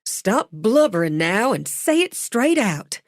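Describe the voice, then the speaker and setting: A woman voicing a stern elderly grandmother who speaks firmly and slowly. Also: on the high side, very animated, no echo, clean studio sound, heavily acted. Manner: firm, clipped, slow and commanding.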